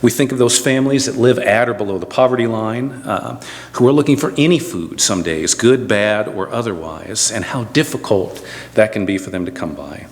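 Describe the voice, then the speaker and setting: A man speaking as a pastor, giving an informal, halting introduction from the pulpit. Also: fairly low pitch. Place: church sanctuary. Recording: long church-hall reverb.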